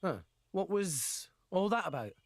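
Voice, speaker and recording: A man's voice: high-pitched, animated, a little slow, no room sound, exaggerated voice. An actor playing an earnest, plucky young boy hero in a video game, eager and blunt. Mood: puzzled. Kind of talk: video game cutscene acting.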